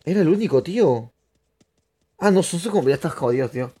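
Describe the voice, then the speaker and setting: Young man, streamer, excitable, chatty, live game commentary. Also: fairly high pitch, a little fast, breathy edge. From Peru. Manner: chatty, excitable, laughs often, addresses viewers.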